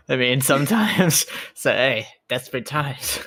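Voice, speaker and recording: A man's voice: medium pitch, animated, takes it slowly, sharp tone. A man playing a tabletop roleplaying game, chatting casually and improvising in character with a laid-back, questioning manner.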